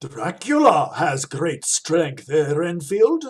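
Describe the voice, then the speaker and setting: Radio drama monster-hunting doctor, male actor: slow, husky, grave, resolute, emphatic. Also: on the high side, sing-song, full character voice. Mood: grave, steady. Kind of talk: theatrical radio play acting.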